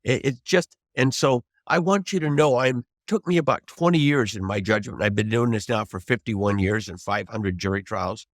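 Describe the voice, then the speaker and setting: A man's voice: medium pitch, no echo, clean studio sound. A man in his seventies, a veteran trial lawyer, giving unscripted advice in an emphatic, reflective way and restating points for stress. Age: 70s.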